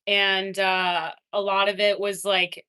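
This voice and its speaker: A young adult woman streaming, casually chatting with her viewers and reading their messages, laughing often and swinging between excited and quiet. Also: medium pitch, slow, clean tone. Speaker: livestreamer reading chat. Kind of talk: casual livestream chat.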